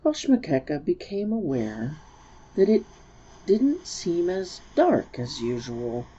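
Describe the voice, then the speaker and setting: A woman narrating a storybook as a dramatic reading, measured and expressive. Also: on the low side, slightly rough, highs cut off.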